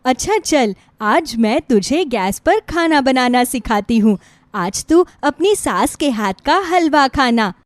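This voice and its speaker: A woman voicing a gentle, caring middle-aged mother-in-law, reassuring and motherly, in a moral story. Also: high-pitched, expressive, slow, no echo. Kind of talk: Hindi moral story acting.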